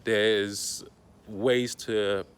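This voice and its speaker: A man talking directly to his viewers, giving casual spiritual advice in a slow, deliberate, reflective way with pauses. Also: lowish voice, flat delivery, clean tone. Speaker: spiritual content creator.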